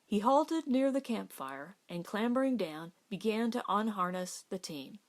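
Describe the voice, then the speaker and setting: A woman's voice: mid-range voice, animated, unhurried. A woman reading a novel aloud as an audiobook narrator. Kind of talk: scripted literary narration.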